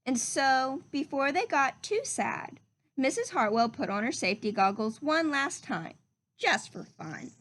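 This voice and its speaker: A woman with a high voice reads a children's storybook at a very slow, measured pace. She speaks like a teacher, addressing her young listeners directly in simple phrases. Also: very animated, fairly bright tone. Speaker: teacher reading a picture book aloud.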